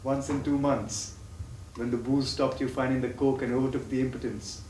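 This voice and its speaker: A man's voice: medium pitch, little pitch movement, moderate pace, darkish tone, lightly acted. A man acting a sick, tormented addict confessing lost love in an impassioned, poetic stage-play style. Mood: scornful. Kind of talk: theatrical stage play dialogue. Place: theatre stage, recorded from afar. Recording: distant, echoey, noisy stage recording.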